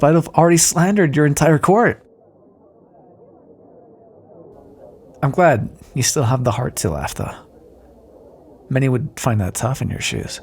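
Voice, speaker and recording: A man's voice: on the high side, darkish tone, husky, full character voice. A man playing a roguish, sardonic but decent thief in an audio roleplay, talking directly and teasingly to the listener. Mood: playful. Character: roguish male thief escorting a deposed queen, wry but decent.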